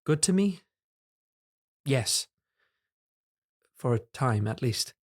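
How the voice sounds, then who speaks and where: A young man in an audio drama, earnest yet guarded. His voice is medium in pitch. He speaks with polite deference and a hesitant edge. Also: lively intonation, slow pace. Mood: wary. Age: young adult.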